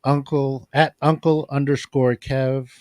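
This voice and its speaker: A man on a podcast as a guest, talking calmly and upbeat about positivity and community with a soothing voice. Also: medium pitch, measured pace, no echo, clean studio sound. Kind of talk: casual chat.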